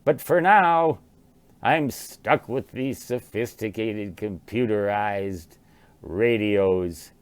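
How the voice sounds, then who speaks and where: An American man in his sixties, musing aloud in a halting, rambling monologue and often repeating words. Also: fairly low pitch, clean tone. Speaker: vlogger, writer and ham radio hobbyist.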